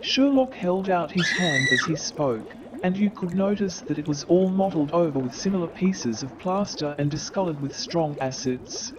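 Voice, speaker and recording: A man's voice: fairly high pitch, expressive, normal speed, hardly any pauses, poor audio. A man narrating a staged radio play, reading scripted story passages between the characters' lines. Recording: crowd and watery sounds behind.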